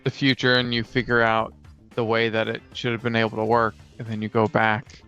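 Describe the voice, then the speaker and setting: A man in casual conversation as a co-host and player, halting as he searches for words. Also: fairly low pitch, monotone, bright, forceful tone, clean tone. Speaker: podcast co-host and tabletop player. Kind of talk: casual chat about fantasy tabletop games. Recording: dull lo-fi sound with faint music. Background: faint guitar music.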